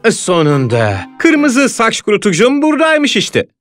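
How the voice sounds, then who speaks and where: A flamboyant, fussy hairdresser uncle from a kids' cartoon. His theatrical delivery swings dramatically up and down, cheerful and excitable. Music plays clearly behind him. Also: very high-pitched, measured pace, exaggerated voice. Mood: excited. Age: adult. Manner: theatrical, animated. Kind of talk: animated children's cartoon dub.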